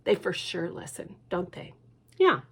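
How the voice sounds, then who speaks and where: A woman librarian reading a picture book aloud to children in a gentle, hushed manner, with whispered asides. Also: on the low side, big pitch swings, unhurried, husky. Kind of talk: storytime read-aloud for children.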